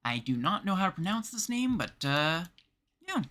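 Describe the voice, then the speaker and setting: A man's voice: sits high, sing-song, normal speed, sharp tone. A man from Canada chatting casually as he livestreams a game.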